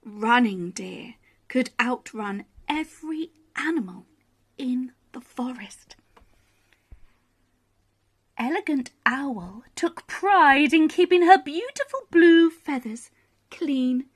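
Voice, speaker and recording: Female children's storyteller: high voice, very unhurried, expressive, dramatic pauses. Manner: animated, dramatic pauses and emphasis. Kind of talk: expressive bedtime story reading.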